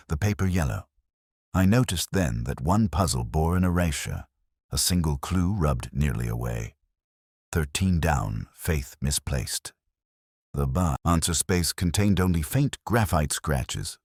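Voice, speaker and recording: A man narrating a scripted first-person detective story in a measured, brooding, literary manner. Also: rumbling low, no echo, clean studio sound.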